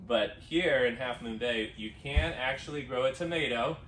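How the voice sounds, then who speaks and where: A middle-aged man who is an organic farmer, answering interview questions in a relaxed, plain-spoken way with many fillers, recorded outdoors on a farm. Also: mid-range voice, moderate pace, slight background noise. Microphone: camera mic from a distance. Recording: roomy, distant outdoor sound.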